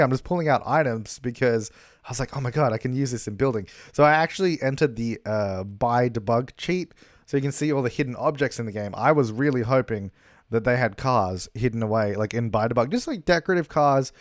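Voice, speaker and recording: A man's voice: medium pitch, lively intonation, brisk, no room sound, clean studio sound. A young man chatting casually over gameplay, rambling with lots of fillers and laughs, his voice a little worn, recorded in a hotel room. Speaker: gaming YouTuber. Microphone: travel recording setup.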